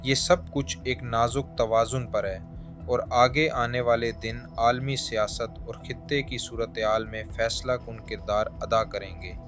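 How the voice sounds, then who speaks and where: A man's voice: medium pitch, steady tone, unhurried, fairly bright tone. A man presenting a scripted news narration in formal Urdu diction. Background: background music.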